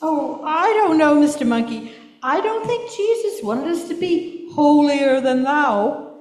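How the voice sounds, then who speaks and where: A woman voicing a sweet, gentle, earnest female puppet who pleads with children in a live puppet show. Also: high voice, animated, unhurried. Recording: echoey church hall, distant mic.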